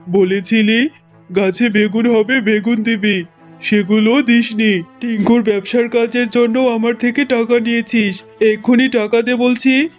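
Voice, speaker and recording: A man voicing a blunt tiger uncle, a trader and moneylender in a cartoon, with a bossy, emphatic delivery. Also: high-pitched. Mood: angry, threatening. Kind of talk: cartoon character acting.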